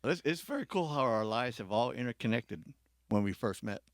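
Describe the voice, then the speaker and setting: A man's voice: mid-range voice, animated, fairly quick, dry recording, studio quality. A man from Hawaii speaking as a podcast guest, telling his story in an informal, rambling, self-correcting way. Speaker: podcast guest, church worship director. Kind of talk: casual personal storytelling, chat.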